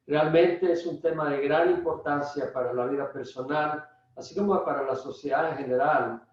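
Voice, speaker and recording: An older man with a Salvadoran Spanish accent gives a personal introduction to a religious lecture, speaking deliberately with halting pauses. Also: a little high. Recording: echoey, distant, dull room sound.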